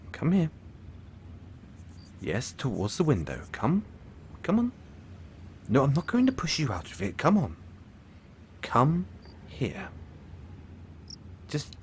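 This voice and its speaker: A man's voice: mid-range voice, a little hiss or hum. A man playing a commanding, playful villain suitor in an intimate audio roleplay, coaxing and teasing the listener with theatrical flair.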